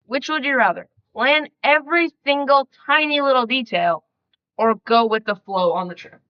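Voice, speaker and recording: Twelve-year-old child, highish voice, casual question reader, slightly lo-fi podcast audio. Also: moderate pace, smooth. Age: twelve years old. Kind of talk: reading questions, casual chat.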